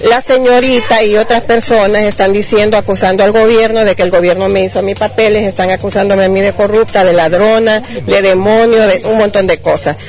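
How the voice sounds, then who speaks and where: A woman interviewed outdoors, calmly and firmly defending her claim in detail. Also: mid-range voice, fairly quick, hardly any pauses, faint music behind. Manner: firm, detailed, self-defending. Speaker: landowner's granddaughter. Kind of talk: street news interview.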